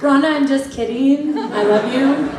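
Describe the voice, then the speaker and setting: A young woman on a convention panel answering fan questions, bubbly and quick with jokes, laughing often. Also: sits high, far from the mic, a crowd in the background. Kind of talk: casual convention Q&A. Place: large convention hall. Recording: echoey hall, heard from afar.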